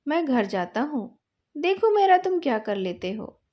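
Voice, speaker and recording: Woman playing a petulant, proud little boy, high-pitched. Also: very animated, a little slow, warm tone. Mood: defiant. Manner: petulant, defiant, bargaining. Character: proud, wriggly young boy, a policeman's son, arguing.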